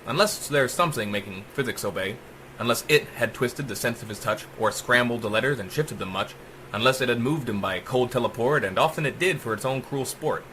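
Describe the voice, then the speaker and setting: A man narrating a dark rhyming story as a dramatic audiobook, with theatrical, emphatic rhythm. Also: medium pitch, slight background noise, low-quality recording.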